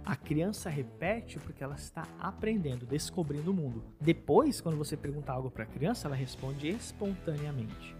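A man who teaches Italian, hosting a podcast and explaining his points emphatically with rhetorical questions. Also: fairly high pitch. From Brazil.

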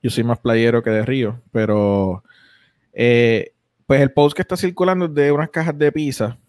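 A man's voice: mid-range voice, steady tone, moderate pace, darkish tone, dry recording, clean studio sound. A young man hosting a casual podcast, rambling with lots of fillers and restarts. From United States. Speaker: podcast host.

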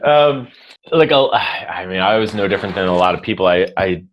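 A man's voice: low-pitched, expressive, fairly quick, slight background noise, rough recording. An American man in his thirties, a leadership coach telling his life story in a casual interview. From United States. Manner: chatty, storytelling, self-deprecating with laughs.

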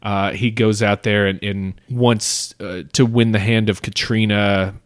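A man's voice: on the low side, little pitch movement, unhurried, no room sound, clean studio sound. A man co-hosting a podcast, talking through a classic story in a casual, analytical way, full of fillers and restarts. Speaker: podcast co-host. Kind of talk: casual literary discussion.

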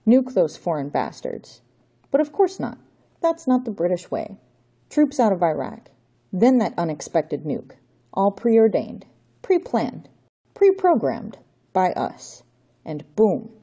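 A woman's voice: fairly low pitch, huge pitch swings, slow, warm tone, slightly rough, heavily acted. A woman voicing a scheming aristocratic male villain count with a theatrical, menacing manner, in a raised voice.

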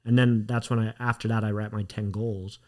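A man hosting a podcast, talking in a relaxed, encouraging way. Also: on the low side, fairly quick. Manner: relaxed, encouraging, short affirmations. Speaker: podcast host. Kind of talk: casual interview chat.

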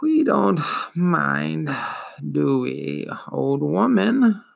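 An ancient swamp turtle, female and worn out by endless years, wheezes and gurgles through every word, as a man puts her on. Her speech crawls along, slow and drawn out, and gravelly fry rumbles beneath it. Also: huge pitch swings, heavily acted. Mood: weary, indifferent.

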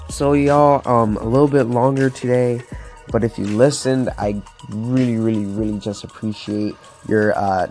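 A man's voice: on the low side, a little mellow. A man in his twenties from Florida, talking as a podcast host in a casual, motivational monologue, earnest and upbeat, with many fillers like "like" and "um". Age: 20s.

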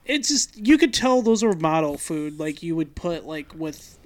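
Brief: young man, high voice, relaxed sweary podcast banter. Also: a little slow. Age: 20s. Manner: loose, swearing, laughing often. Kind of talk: casual chat.

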